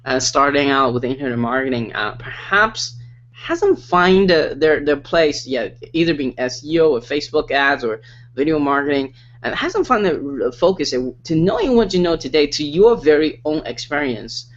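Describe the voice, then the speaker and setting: Brief: male interview host, slightly high voice, pitchy sales hype, lo-fi call audio. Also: animated, moderate pace, a bit bright. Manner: hyped, salesy, many repetitions and fillers. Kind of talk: online interview.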